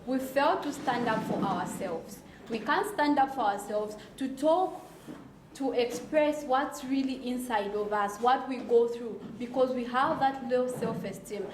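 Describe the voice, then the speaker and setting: A woman speaks off the cuff in a fairly high voice. She is emphatic, repeating phrases as an advocate. The recording is echoey, with clear noise behind her. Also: measured pace. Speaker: advocate for people with albinism. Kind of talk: impromptu speech to news. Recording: roomy echo, noisy background, clicks.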